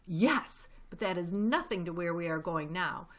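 A woman's voice: fairly low pitch, animated, measured pace, fairly bright tone. Woman as a sly, grandly polite storybook fox. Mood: eager, commanding. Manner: assured, grandly polite, relishing his words. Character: sly, confident, courteous father fox.

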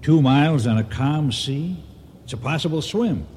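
Actor as shrewd captain in a reverberant space. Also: male voice, on the high side, lively intonation, moderate pace, a crowd in the background, close to an everyday voice. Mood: resolute. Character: shrewd, suspicious male ship's captain held captive. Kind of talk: radio drama acting.

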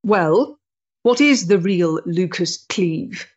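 An actress playing a resolute, guarded woman reformer in a period stage drama, with a measured, terse delivery. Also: on the low side, lively intonation, husky, heavily acted. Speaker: actor. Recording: muffled, slightly noisy recording.

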